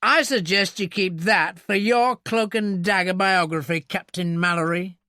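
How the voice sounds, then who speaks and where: Man acting a pompous, high-pitched blustering officer. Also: huge pitch swings, takes it slowly, pushed and bright, no echo, clean studio sound, exaggerated voice. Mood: sneering. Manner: pompous, sneering, emphatic. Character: blustering, indignant, sneering male military officer.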